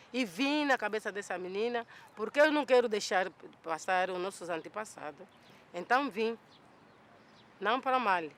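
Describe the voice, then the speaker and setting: Man, high voice, plain repetitive speaker, faint outdoor noise. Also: fairly bright tone. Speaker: community member describing a ritual. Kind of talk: documentary interview.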